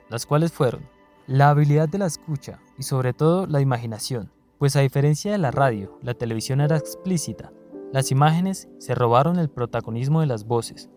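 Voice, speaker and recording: A man's voice: mid-range voice, a little mellow. A man with a Colombian accent reading scripted documentary narration.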